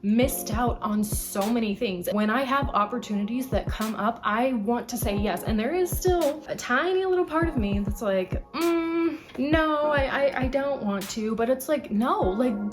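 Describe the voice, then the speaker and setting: A woman's voice: on the high side, moderate pace, hardly any pauses, over music. A woman in her late twenties talking casually to camera, chatty and emphatic as she runs through a list of life lessons. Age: 20s. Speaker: vlogger.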